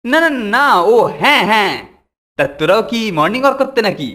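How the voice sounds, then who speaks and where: A man's voice: very high voice, sing-song, brisk, bright, forceful tone, exaggerated voice. A man voicing a bossy, boastful, greedy older schoolboy bully in a cartoon, with a theatrical, domineering delivery. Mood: scheming, boastful. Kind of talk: Bengali cartoon voice acting. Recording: music behind the voice.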